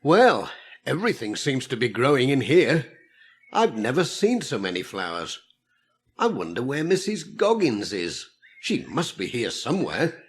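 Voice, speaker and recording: A man's voice: mid-range voice, wildly swooping pitch, unhurried. A man voicing a cheerful, easygoing village postman with a dry wit and chatty, folksy talk in a children's audiobook. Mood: cheerful, curious.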